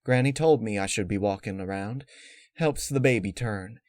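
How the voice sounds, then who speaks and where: A man's voice: on the low side, normal speed, darkish tone, dry recording, lightly acted. Guy doing a folksy worried farm pony lady in a story, homespun talk, close dry sound. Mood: easygoing. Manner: folksy, homespun country phrasing. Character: anxious pregnant farm mare with folksy country talk.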